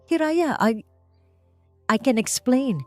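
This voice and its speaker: A woman's voice: sits high, animated, slow pace, pitched above the performer's own voice. A woman voices a young Filipino man in an audiobook romance. He is guilty, earnest and desperate, speaking with intensity. Faint music plays underneath. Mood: pleading. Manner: pleading, intense.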